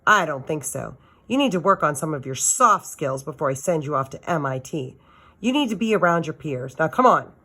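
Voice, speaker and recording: A woman's voice: low-pitched, lively intonation, normal speed, bright, forceful tone, exaggerated voice. A woman voicing a stern, loving grandmother in a storybook, speaking firmly and emphatically. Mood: chiding. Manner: firm, emphatic. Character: stern, determined grandmother, loving but no-nonsense.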